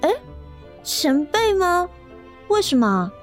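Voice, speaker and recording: A woman's voice: extremely high pitch, sing-song, slow pace, faint music behind, heavily acted. A woman acting an eager, bashful young office employee in a radio drama. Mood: shy. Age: young adult.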